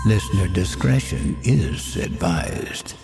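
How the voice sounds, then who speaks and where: A man hosting a horror podcast, reading a scripted introduction in an ominous, measured way. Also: low-pitched, sing-song, reverberant. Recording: dry voice over prominent music.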